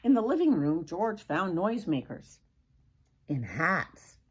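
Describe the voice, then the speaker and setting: A woman's voice: on the low side, big pitch swings, measured pace, breathy edge. An older woman reading a children's storybook aloud expressively, with dramatic emphasis and asides to young listeners. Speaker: grandmother reading a picture book aloud.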